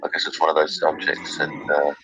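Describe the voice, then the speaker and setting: A man hosting a casual live stream chat, relaxed and bantering. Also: very deep voice, brisk, vocal fry, noisy background.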